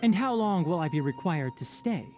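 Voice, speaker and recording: Young male game ranger acted by a man, warm dark timbre, lively melody, courteous, composed, articulate, scholarly. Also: a little high, normal speed, soft background music, lightly acted. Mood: firm, skeptical. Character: young male forest watcher, polite, composed, firm, scholarly. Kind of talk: video game cutscene voice acting.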